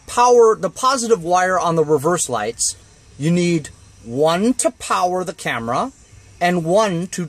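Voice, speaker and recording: A man talking casually through a hands-on how-to in a blunt, colloquial, grumbling way. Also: high-pitched. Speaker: DIY how-to video maker. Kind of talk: casual hands-on tutorial chat. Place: in and around a cargo van.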